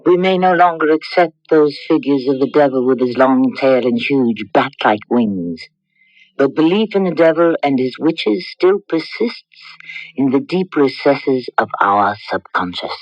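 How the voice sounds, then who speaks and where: A man hosting and narrating a radio drama in a formal, deliberate, scripted style. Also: medium pitch, no room sound. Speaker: host and narrator. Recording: muffled old radio broadcast.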